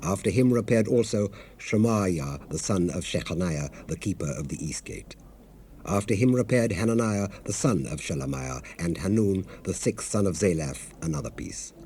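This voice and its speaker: A man's voice: deep voice, expressive, moderate pace, mellow. An actor playing an earnest, devout leader recounting his people's struggle in a solemn, oratorical scripture dramatization. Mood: solemn, measured. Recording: hissy 1970s cassette rip.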